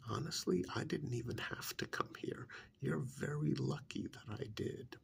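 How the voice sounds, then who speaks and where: A man playing a calm, menacing vampire emissary in a soft-spoken ASMR role-play, half-whispering in a slow, condescending way. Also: medium pitch. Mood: stern, quietly accusing. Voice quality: breathy, half-whispered, creaky. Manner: slow, deliberate, condescending, hesitant pauses.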